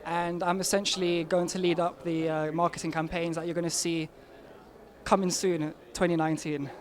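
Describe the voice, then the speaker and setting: Man, young adult, high-pitched, insistent, casual interview. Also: flat delivery, normal speed. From Albania, raised in London. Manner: eager, insistent, emphatic claims. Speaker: marketing lead, interviewee.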